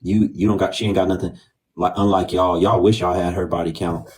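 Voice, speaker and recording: Low-voiced, goading American man. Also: steady tone, a little fast, a little mellow. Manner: mocking, goading. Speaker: livestream host. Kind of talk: bantering livestream debate talk.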